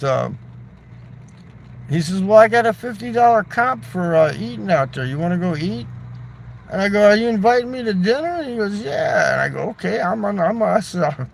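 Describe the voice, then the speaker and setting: A man's voice: a little high, a little hiss or hum. A man telling a long casual story, loose and chatty, swearing freely and tossing in "right?" and "man". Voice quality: gravelly. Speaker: livestreamer.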